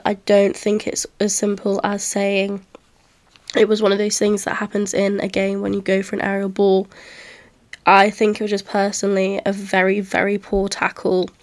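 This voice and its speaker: A woman's voice: mid-range voice, monotone, normal speed. A woman with a nasal, congested voice from a cold, hosting a solo football podcast with a semi-scripted match recap. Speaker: podcast host.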